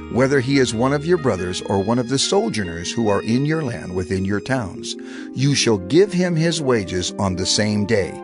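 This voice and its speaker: A solemn male lawgiver addresses his people in an audio scripture drama. His delivery is declamatory and authoritative, with lively, sweeping intonation. Music plays clearly behind his deliberate words. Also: medium pitch. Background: background music.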